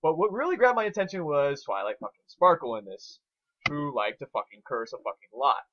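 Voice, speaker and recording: A man's voice: a little high, lively intonation, brisk, a bit bright. Guy doing a casual review chat, rambles and swears a lot, kinda muffled cheap recording. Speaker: co-host reviewing fan fiction.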